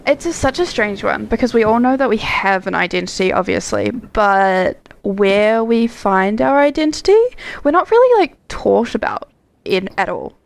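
A woman's voice: mid-range voice, lively intonation, normal speed, creaky. A young woman co-hosting a podcast, talking in a chatty, reflective way with plenty of "like" fillers. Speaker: podcast co-host. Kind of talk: casual chat.

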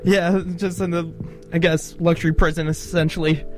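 A male radio podcast host asks long, rambling questions, full of fillers. His voice sits slightly high, and music plays clearly behind him. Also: flat delivery, moderate pace, a little mellow. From Canada. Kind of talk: casual radio interview.

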